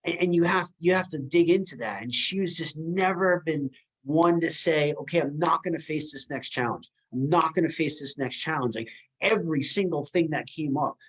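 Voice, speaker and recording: A man's voice: on the high side, fairly bright tone, slightly rough. Emphatic motivational man, dull audio. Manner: emphatic inspirational talker. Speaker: community member giving a testimonial. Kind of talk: motivational speech on a call.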